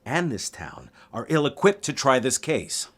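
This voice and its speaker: An actor playing a formal, wary lawyer with stiff, halting politeness in an audio drama. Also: male voice, mid-range voice, very animated, a bit bright, breathy edge, full character voice. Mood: wary, insistent.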